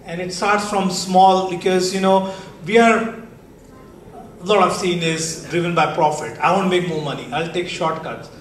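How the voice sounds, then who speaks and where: Emphatic Indian man, high-ish voice. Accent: Indian English. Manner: measured, emphatic, mixes in Hindi phrases. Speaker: panelist. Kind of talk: panel discussion. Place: large conference hall stage, seated panel. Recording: echoey hall, distant mic, faint noise.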